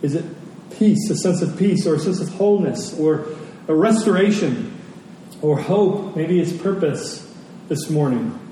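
Male preacher, higher-pitched, mellow voice, emphatic repetition; sermon delivered in a big echoing hall. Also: expressive, unhurried. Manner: emphatic, repeats phrases for effect. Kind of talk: sermon to a congregation. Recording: very echoey hall, distant mic, faint noise.

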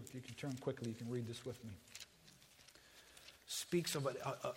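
A man in his forties preaching a sermon, conversational and joking with the congregation, swinging between hushed and loud passages. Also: medium pitch, slight background noise. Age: 40s. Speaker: pastor. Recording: reverberant hall.

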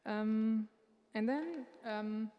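A woman giving unscripted church announcements in a church sanctuary, halting and pausing often as she speaks into a microphone. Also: on the high side, highs cut off. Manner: halting, with many filler pauses. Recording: long reverb of a large hall.

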